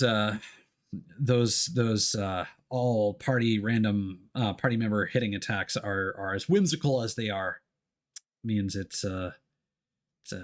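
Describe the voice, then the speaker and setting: A man talking casually to his stream viewers as a game streamer, rambling hesitantly with frequent ums and pauses. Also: on the low side, fairly bright tone. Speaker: video game livestreamer.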